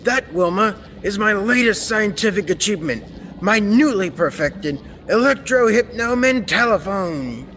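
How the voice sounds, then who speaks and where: A man playing a kindly, absorbed scientist of the future in old-time radio serial style, theatrical and deliberate. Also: high voice, expressive, a bit bright. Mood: reassuring, proud. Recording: reverberant, noisy stage-like recording.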